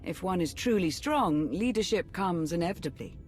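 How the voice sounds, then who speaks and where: Actor doing a proud ex-sith warrior, measured and deliberate, middle pitch, faint music, game cutscene. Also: expressive, natural voice. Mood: cool, assured.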